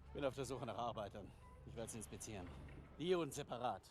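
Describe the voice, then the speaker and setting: A man's voice: medium pitch, fast talker, slight background noise, lightly acted. A man acting a cruel, commanding Nazi officer with a German accent in a video game cutscene, imperious and menacing. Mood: cold, menacing. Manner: imperious, menacing, barking orders.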